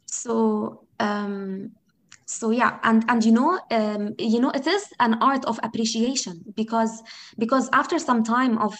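A woman with a Lebanese accent, whose first language is Arabic, answers interview questions in a thoughtful, searching way. Her voice sits somewhat high, and she often circles back to rephrase a point before settling on it. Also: fairly even intonation, measured pace. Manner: reflective, thinks aloud, frequent "you know" and restarts. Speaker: interview guest. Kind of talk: conversational interview.

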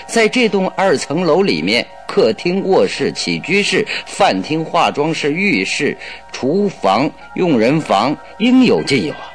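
A man playing a keen detective in a Chinese radio drama, recounting his investigation in a deliberate, expressive storytelling way. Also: a little high, soft background music. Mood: observant, composed.

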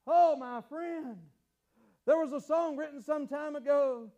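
High-voiced preacher man, shouting. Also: unhurried, dull audio. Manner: impassioned, bursts into shouting, repeats phrases; stumbles and restarts words. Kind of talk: fiery sermon.

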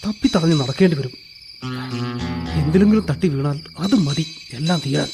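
A man's voice: on the high side, normal speed, music in the background, natural voice. An actor playing a nervous burglar in a radio play, muttering to himself as he sneaks through a house, then pleading when caught.

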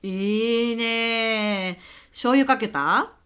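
Woman playing a bright, breezy lady boss. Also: fairly high pitch, drawn-out words, clean tone. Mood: chatty, probing. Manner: casual, chummy boss talk that probes pointedly. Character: sly, breezy senior female client executive, testing a vendor. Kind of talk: comedy radio sketch acting.

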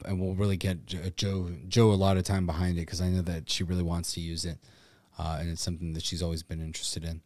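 Guy with a lowish voice, dry close sound, chatting casually on a podcast, pokes fun at himself. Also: a little mellow, dry recording. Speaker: podcast co-host. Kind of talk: casual bantering chat.

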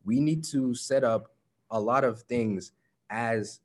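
A young man with a Liberian accent, a guest in a casual discussion, speaking in a relaxed way that often trails off quietly. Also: medium pitch, slow. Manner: relaxed, trails off into softer speech.

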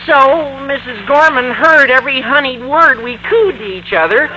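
An actor playing an excitable, scheming high school boy with a squawky adolescent voice in an old-time radio comedy. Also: moderate pace, heavily acted. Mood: sheepish, eager. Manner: gushing, stammering, eager. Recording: faintly noisy vintage radio recording.

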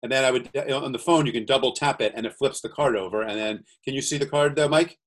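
A man from the USA, a guest entrepreneur, chatting earnestly on a video call and reading aloud slowly. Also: mid-range voice. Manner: earnest, reads cards slowly and deliberately. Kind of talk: casual video-call chat.

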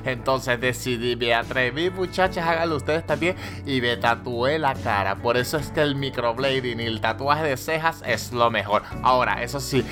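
A man voicing a blunt, bossy woman in her fifties who speaks in a lowered, deadpan way. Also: moderate pace, hardly any pauses, over music, close to an everyday voice.

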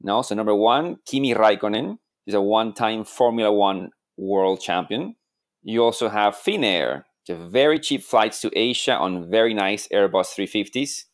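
Low-voiced male co-host, wry, jokey intros. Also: lively intonation, moderate pace. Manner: wry, joking guest introduction. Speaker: podcast co-host. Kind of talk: podcast interview.

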